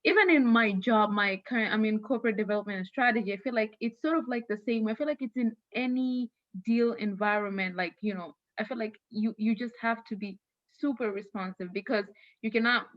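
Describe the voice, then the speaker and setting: A woman's voice: fairly high pitch, a little fast, no echo, dull audio. A woman in casual panel chat, emphatic and given to repeating her phrases.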